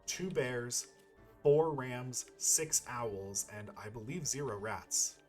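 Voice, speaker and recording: Male let's-play host, medium pitch, loose and playful commentary, game music behind. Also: slow, darkish tone. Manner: rambling, playful, profane, thinking aloud. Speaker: gaming YouTuber playing and commenting.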